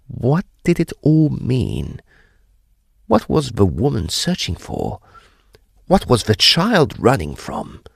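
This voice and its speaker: A man's voice: mid-range voice, huge pitch swings, takes it slowly, gravelly, slightly rough, dry recording, studio quality, exaggerated voice. An actor playing a grave, intrepid ghost hunter, narrating his own ghost story in a theatrical, suspenseful way. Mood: bewildered. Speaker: actor narrating an audio drama. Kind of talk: dramatic first-person ghost story narration.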